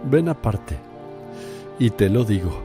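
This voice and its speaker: A man playing Jesus in a radio drama, speaking gently and solemnly as a teacher and preacher. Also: lowish voice, sing-song, slow, music in the background. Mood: tender.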